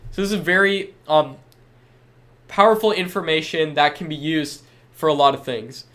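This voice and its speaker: A man's voice: fairly high pitch, fairly even intonation, normal speed, fairly bright tone. A young man with a stuffed-up head cold, hosting a live webinar and answering chat questions in an upbeat, reassuring way. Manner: upbeat and reassuring, reads chat aloud then replies.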